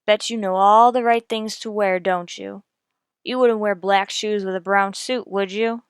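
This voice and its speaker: Woman as no-nonsense female cop, matter-of-fact, clipped, smooth clear mid-pitched voice, radio crime drama. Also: fairly even intonation, a little slow, no room sound, clean studio sound, natural voice. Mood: pointed. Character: no-nonsense, methodical female police detective. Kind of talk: old-time radio police drama, scripted narration and dialogue.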